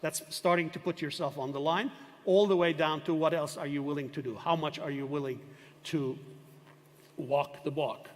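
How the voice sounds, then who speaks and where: A man's voice: on the high side, fairly even intonation, moderate pace. Older male professor lecturing in a hall. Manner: discursive, emphatic, rhetorical questions. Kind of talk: university lecture. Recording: long hall reverb.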